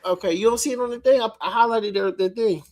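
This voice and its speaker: A man's voice: sits high, fast talker, a little mellow, no echo. A man with a head cold hosting a livestream, chatting loosely and breaking off to talk to viewers in the comments. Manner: loose and offhand, drifts into talking to chat. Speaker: livestream host. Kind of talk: casual chat.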